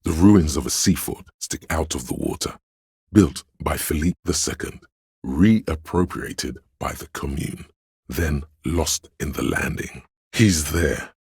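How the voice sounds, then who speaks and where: Gravelly, extremely deep brooding narrator. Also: male voice, slow, a little mellow, no room sound, clean studio sound, exaggerated voice. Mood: brooding, solemn. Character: brooding inner voice narrating police drama. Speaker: game narrator voice. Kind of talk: literary scripted narration.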